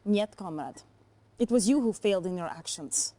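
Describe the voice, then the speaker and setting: An actress playing a cool, assertive Soviet intelligence officer, speaking formally and firmly in a video game cutscene. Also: female voice, mid-range voice, expressive, normal speed, mellow, natural voice. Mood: firm, defiant. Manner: formal, firm, precise.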